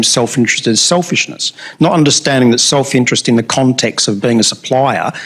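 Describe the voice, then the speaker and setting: Man, audience member, mid-pitched voice, slow and searching delivery. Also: slightly rough. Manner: measured, searching, slows down to make a point. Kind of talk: commenting at a panel Q&A.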